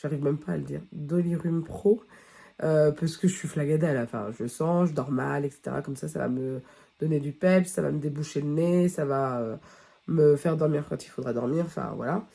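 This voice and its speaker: A woman's voice: low voice, moderate pace, vocal fry, dark tone, breathy edge. A woman vlogging at home with a head cold, talking casually and rambling with many fillers and restarts. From France. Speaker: beauty vlogger. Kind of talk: casual chat to camera. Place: at home, early morning.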